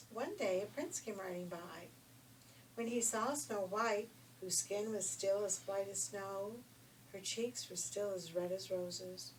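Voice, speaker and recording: A woman's voice: mid-range voice, darkish tone. An older woman, a grandmother telling a fairy tale informally in a conversational, folksy, emphatic way. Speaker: grandmother storyteller. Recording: distant mic, faint background noise.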